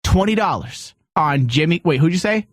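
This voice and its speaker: A man's voice: fairly high pitch, expressive, moderate pace, no room sound. A man hosting a casual comedy talk show with teasing, irreverent banter. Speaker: show host.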